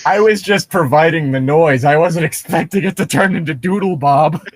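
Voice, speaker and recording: A man's voice: a little high, normal speed. A man co-hosting casual comedic commentary on internet horror stories, joking and swearing as he goes. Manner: joking, swearing, breaking into laughter. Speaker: co-host.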